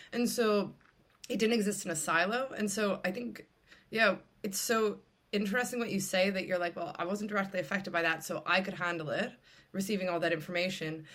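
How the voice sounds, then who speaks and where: A woman's voice: mid-range voice, fairly bright tone. A woman hosting a casual conversational interview, talking in a candid, informal and emphatic way. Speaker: podcast host.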